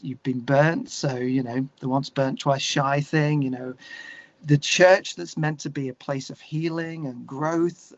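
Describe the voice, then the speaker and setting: A man with an English accent talking candidly and reflectively as an interview guest, searching for his words in a halting way. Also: fairly high pitch, dry recording, highs cut off.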